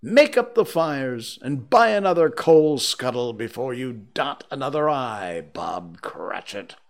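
A man voicing an elderly reformed miser in a storybook, a mischievous and big-hearted figure with a theatrical, drawn-out, emphatic delivery. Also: mid-range voice, animated, vocal fry. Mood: exuberant, kindly. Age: older. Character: elderly miser turned jubilant, booming and mischievous, warm-hearted.

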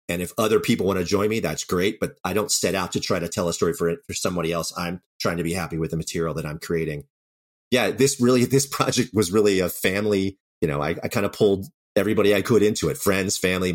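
Low-voiced guy in a casual interview, talks quick, candid and kinda self-deprecating. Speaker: writer-director of audio dramas, interview guest.

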